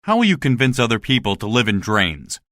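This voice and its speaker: A man's voice: medium pitch, big pitch swings, fairly quick, a bit bright, no room sound, studio quality. A man playing an anxious, earnest survivor in a dramatized story, questioning and incredulous in manner. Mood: shocked, questioning. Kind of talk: dramatized audiobook dialogue.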